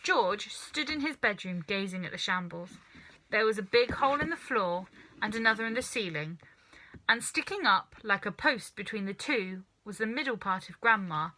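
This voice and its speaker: Female storybook reader, bright and expressive, with highly varied melody. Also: moderate pace, low-quality recording. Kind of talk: animated children's story narration.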